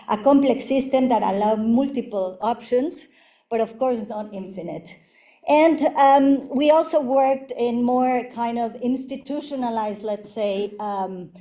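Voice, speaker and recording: A middle-aged woman from Mexico, an architect giving a university lecture on her projects, speaking haltingly with many fillers and restarts. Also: a little high, mellow.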